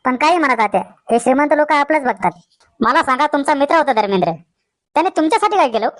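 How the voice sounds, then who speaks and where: Woman playing very high-pitched cheeky village boy. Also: lively intonation, fast talker, a little hiss or hum. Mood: sceptical. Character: cheeky, curious, gullible village youngster Pappya, a boy. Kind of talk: comedy cartoon dialogue.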